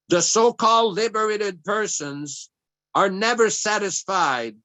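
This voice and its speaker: Slow, bright-voiced French-native man teaching. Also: high-pitched, no echo. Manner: slow measured reading, then chanting prayers. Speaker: class speaker. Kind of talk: devotional scripture class.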